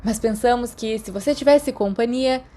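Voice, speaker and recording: An earnest schoolboy in his teens voiced by a woman reader, reassuring and persuasive in storybook dialogue. He explains things in a voice lifted a little high. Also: normal speed, mellow, natural voice. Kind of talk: audiobook character dialogue.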